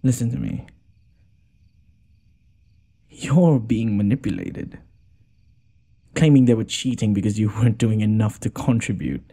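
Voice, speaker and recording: Noble lord for fantasy audio roleplay, male actor: haughty yet caring, soft-toned and husky, theatrical, exasperated sarcasm. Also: on the low side, lively intonation, measured pace, pauses often, full character voice. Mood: urgent concern. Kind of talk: scripted audio roleplay addressed to the listener.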